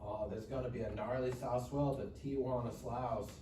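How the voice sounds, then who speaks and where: A brash young surfer dude, acted by a man, crude and boisterous in his slang. The voice is middle-pitched, distant and swimming in room echo at a comedy table read. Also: steady tone, normal speed, warm tone, lightly acted. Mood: cocky. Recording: very echoey room, distant mic, faint noise.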